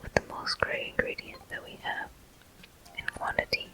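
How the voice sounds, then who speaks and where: A person whispering gentle, unhurried ASMR commentary as a video game walkthrough host. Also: low-quality recording. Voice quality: soft, breathy whisper.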